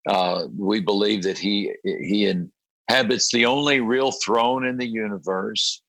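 A man, a pastor, with a raspy voice after a late night, talking in halting conversation, often repeating words and clearing his throat. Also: lowish voice, fairly bright tone, dry recording. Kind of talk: conversational interview about a prayer group.

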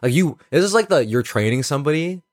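A man's voice: on the high side, expressive, fast talker, no echo, clean studio sound. A young man co-hosting a podcast, telling stories casually in slangy, profane, exaggerated language. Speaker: podcast co-host. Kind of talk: casual chat, storytelling.

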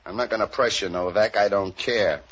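An actor playing a gruff, sceptical homicide sergeant, terse and hard-boiled, in 1940s radio crime drama style. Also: male voice, on the low side, moderate pace, fairly bright tone. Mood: tough, dry. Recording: muffled old 1940s radio recording.

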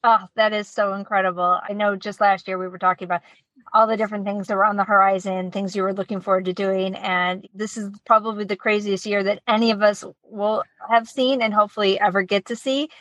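A woman's voice: medium pitch, little pitch movement, fairly quick, no echo, poor audio. A woman hosting a podcast, reading an upbeat intro and interviewing a guest with enthusiasm. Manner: enthusiastic, warm praise of guest. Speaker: podcast host.

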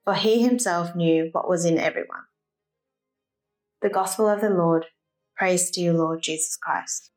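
A woman's voice: fairly low pitch, unhurried, pauses often, faint music behind. Woman, formal scripture reading. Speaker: scripture reader. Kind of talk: formal liturgical Gospel reading.

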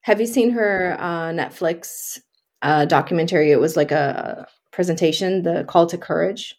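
A woman's voice: fairly low pitch, moderate pace, darkish tone. Woman podcast host, chatty and effusive, casual interview style. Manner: effusive, often laughing.

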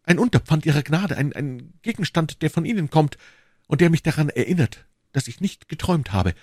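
A man's voice: fairly high pitch, lively intonation, brisk, a little mellow, no room sound, studio quality, pitched above the performer's own voice. A man voicing a passionate, lovestruck English duke from a classic novel, pleading and fatalistic in an ardent, courtly, declamatory manner.

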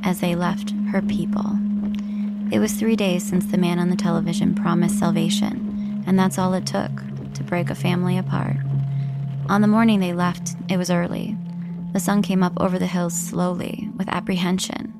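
An actress narrating a brooding audio drama as its storyteller, in a measured, lyrical manner. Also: female voice, on the low side, flat delivery, close to an everyday voice. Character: brooding storyteller of a 1950s coming-of-age tale. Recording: loud music behind narration.

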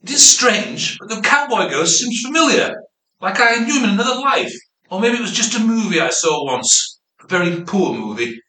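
A man acts out a dry, mock-deferential ghost sidekick in an old-time radio serial. The voice is very piercing and a little high, full of droll sarcasm. It echoes in the room over faint noise. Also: very animated, moderate pace, sharp tone, full character voice. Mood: musing, droll. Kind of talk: amateur old-time radio drama acting.

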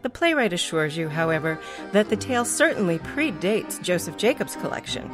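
Animated woman announcer over violin music. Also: lowish voice, fairly quick. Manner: dramatic, formal. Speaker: announcer of a radio drama. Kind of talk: scripted theatrical introduction. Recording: clear violin music behind the voice.

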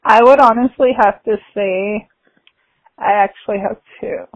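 A woman's voice: medium pitch, normal speed, creaky, highs cut off. A woman answering interview questions casually, with shy little laughs. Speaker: guest.

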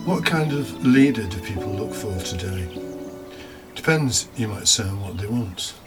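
A man's voice: on the low side, animated, moderate pace, music in the background. A man preaching a homily as a deacon, reflective, partly scripted with informal asides and rhetorical questions. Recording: faint background noise.

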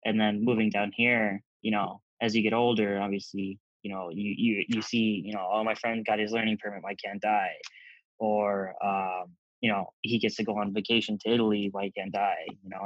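A man's voice: on the low side, little pitch movement, normal speed, no echo, lo-fi sound. A young man from Zambia raised in the Midwest, talking easily in a casual interview with lots of "you know". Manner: easygoing, many fillers like "you know".

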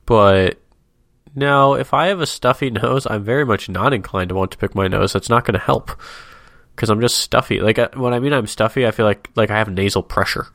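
Low-voiced stuffy man in casual banter. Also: a little fast, gravelly, a bit bright, no echo, studio quality. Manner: wry, rambling, easily amused. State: stuffy nose. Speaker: podcast co-host. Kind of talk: casual chat, describing a comic.

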